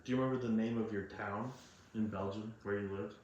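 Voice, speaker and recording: A young adult man conducting a casual interview, asking relaxed, chatty questions with frequent laughter. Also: on the low side, fast talker, lo-fi sound, soft background music. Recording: echoey room.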